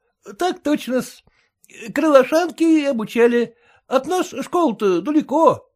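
A man's voice: very high voice, expressive, measured pace, a little mellow, pitched above the performer's own voice. A man voicing a young male shop clerk who is humble and obsequious, in a story reading. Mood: fawning. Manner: obsequious, adds polite -s to words. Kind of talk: audiobook character reading.

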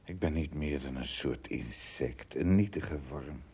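A man's voice: rumbling low, a little slow, mellow, breathy edge, exaggerated voice. A man playing a polite, earnest English castaway in a radio drama, hesitant and stammering as he explains himself. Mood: downcast.

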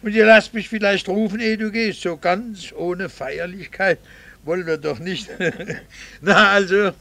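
A middle-aged doctor in a vintage-style radio drama. His voice sits high for a man and crackles with creak, brusque and sardonic in a theatrical, offhand way. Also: measured pace, pushed and bright, heavily acted. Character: brusque, sardonic married doctor and embittered father. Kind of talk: 1960s German radio play acting.

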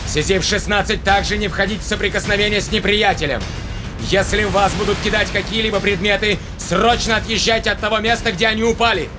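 Actor as high-voiced, agitated commander barking orders. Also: male voice, steady tone, fairly quick, music in the background, close to an everyday voice. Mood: urgent, commanding. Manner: commanding, urgent, clipped imperatives. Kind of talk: audio drama acting.

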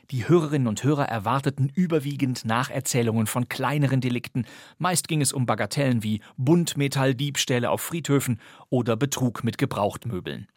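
A German man presents a scripted podcast. His voice sits at a middle pitch and he speaks in an articulate, presenterly style. The recording is close and dry, with no room sound. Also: no echo. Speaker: podcast host. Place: dry studio.

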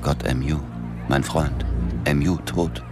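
Very deep-voiced man narrating a thriller. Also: fairly even intonation, takes it slowly. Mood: stunned, grieving. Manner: terse, clipped inner monologue. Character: grieving, drinking American man narrating his thriller story. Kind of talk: radio play first-person narration. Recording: loud music bed.

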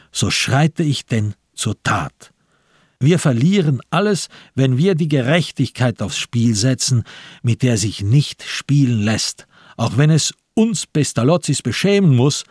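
A man giving a deliberate, solemn scripted literary narration for a radio drama. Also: medium pitch, darkish tone, slightly rough, dry recording, clean studio sound.

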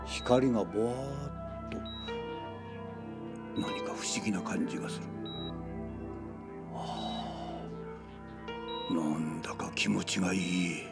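A man's voice: sits low, expressive, slow pace, gravelly, pauses often, loud music behind the voice, heavily acted. An actor playing an earnest, easily astonished middle-aged father in a radio drama. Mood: dazed wonder. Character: excitable, bewildered middle-aged father telling what he witnessed.

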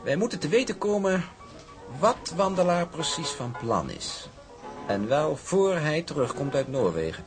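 Suave villainous shipping heir, formal and ironic, very animated, radio drama with music underneath. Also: male voice, a little high, measured pace. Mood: smug, calculating. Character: suave, scheming shipping magnate's son, charming and smug.

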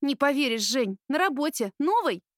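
A woman voicing a caring, cheeky older sister in an audiobook, with a playful, animated manner. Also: high voice, moderate pace, no echo, studio quality, pitched above the performer's own voice. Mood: gleeful. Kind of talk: audiobook character acting.

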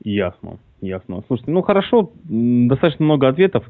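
A man asking interview questions over the phone in a measured, questioning way. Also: mid-range voice, very animated, no echo. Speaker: prank caller posing as interviewer. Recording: dry tinny phone line.

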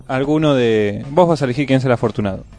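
A man's voice: medium pitch, fast talker, a little mellow. A man with an Argentine accent co-hosting a community radio show in a casual, chatty style. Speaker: radio co-host.

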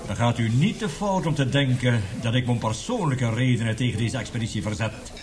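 A man's voice: mid-range voice, expressive, a little fast, a crowd in the background, natural voice. An actor in a radio drama playing an embittered, passionate male professor who lectures emphatically and indignantly. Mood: indignant, bitter.

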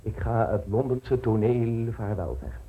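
An actor playing a pompous, broke, out-of-work stage actor in a radio drama, speaking in a theatrical, grandiloquent manner. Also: male voice, fairly low pitch, lively intonation, measured pace, breathy edge, phone-quality sound, full character voice.

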